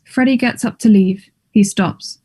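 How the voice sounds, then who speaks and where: A woman narrates stage directions from a script. Her voice is very mellow and sits at an average pitch. The reading is plain and neutral, in short statements. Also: unhurried, breathy edge.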